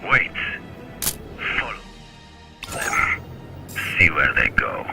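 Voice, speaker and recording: An actor playing a calm, menacing older hunter who mentors the hero in a video game, speaking in a measured, commanding way with a deep gravelly voice. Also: male voice, music in the background. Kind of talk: video game cutscene dialogue.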